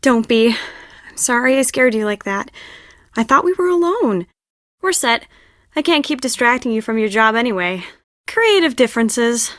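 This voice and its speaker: A woman voicing a stressed, dramatic young stage director in an adventure game, expressive and confiding. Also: fairly high pitch, moderate pace, no room sound, clean studio sound, lightly acted. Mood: brisk, friendly. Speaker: video game voice actor. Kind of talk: adventure game dialogue acting.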